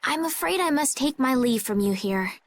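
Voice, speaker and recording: A woman voicing a gentle, determined young noblewoman in video game dialogue, formal and courteous. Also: sits high, expressive, normal speed. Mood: polite, resolved.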